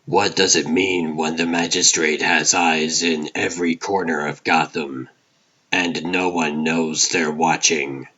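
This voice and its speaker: A man playing a brooding vigilante detective, narrating a comic drama in a grim, deliberate noir manner. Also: extremely low pitch, fairly even intonation, sharp tone, lo-fi sound, heavily acted. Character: aging vigilante hero, brooding hard-boiled detective narrator. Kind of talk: scripted comic dub, noir inner monologue.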